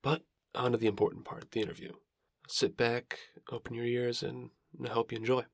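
A man hosting a podcast, introducing and interviewing guests with a creaky voice. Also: mid-range voice, level tone, brisk, dry recording, studio quality. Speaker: podcast host.

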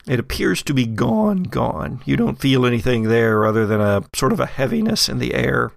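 A man's voice: medium pitch, sing-song, normal speed, vocal fry, dry recording, clean studio sound. A man improvising narration as game master of a tabletop horror roleplay.

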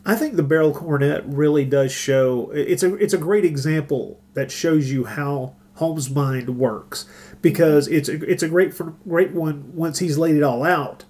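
A man hosting a podcast in casual discussion, thinking aloud in a halting way. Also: fairly high pitch, darkish tone. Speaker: podcast host.

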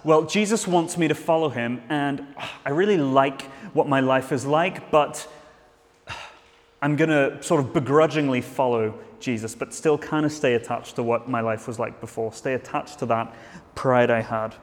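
A man's voice: mid-range voice, moderate pace, long reverb tail. A man preaching a sermon in a church, earnest, with frequent restarts and fillers.

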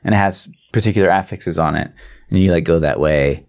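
A man's voice: low voice, brisk, a little mellow. A man co-hosting a podcast, explaining things in a rambling, slangy, casual way with some swearing. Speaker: podcast co-host. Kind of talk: casual gaming chat.

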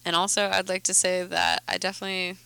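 A young woman hosting a podcast, chatting in a quick, giggly, rambling way. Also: on the low side, flat delivery, vocal fry, pushed and bright, clear, resonant tone. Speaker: podcast host. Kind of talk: casual podcast chat.

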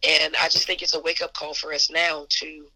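A hoarse woman with a gravelly edge, talking as a basketball coach in an interview. Her voice is forceful and bright but stays flat in melody. She speaks earnestly and repeats phrases for emphasis. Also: low voice, fairly quick, rough recording. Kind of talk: casual interview answers.

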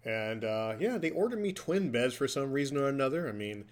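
A man's voice: medium pitch, expressive, brisk. A man in his forties hosts a casual podcast, chatting and ribbing his co-host in a mid-range voice. He talks from a hotel room in an easygoing, joking way. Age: 40s.

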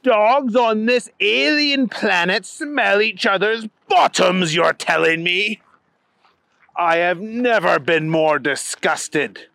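A man's voice: high voice, slow pace, creaky, bright, forceful tone, dry recording, pitched above the performer's own voice, heavily acted. Man voicing a pompous alien space dog general. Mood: disgusted, indignant. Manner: self-important, indignant, declarative. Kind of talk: children's story character voice.

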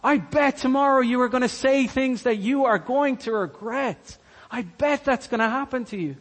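A man's voice: very high-pitched, moderate pace, long reverb tail. A man from Cork with a Cork Irish accent, preaching a sermon earnestly and repeating key lines for emphasis. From Ireland, Cork. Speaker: pastor.

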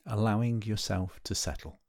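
A man with a lowish voice leads a guided meditation. He gives gentle, unhurried instructions and leaves space between phrases. The sound is very dry and close, with hardly any room around it. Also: no echo. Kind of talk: guided body scan meditation.

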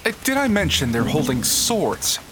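A man's voice: on the high side, big pitch swings, normal speed, noisy background. An actor playing a boyish, teasing male adventurer with an American accent, joking and sarcastic in an audio adventure drama. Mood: incredulous.

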